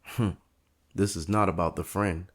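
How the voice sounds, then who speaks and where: A man acts as a streetwise barbershop owner with a deep voice. He is guarded and firm, speaking plainly in an audio drama. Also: fairly even intonation, normal speed. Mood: calm, resolute. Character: steady, guarded barbershop owner taking on mobsters.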